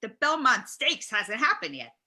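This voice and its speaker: A woman acts out an incredulous, cranky sports talk host in his sixties. The voice is very bright and cutting, fairly high and lively. The host is blunt and repeats questions at hapless callers in a comic radio parody. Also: moderate pace, full character voice. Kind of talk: comedy table read of sports talk radio.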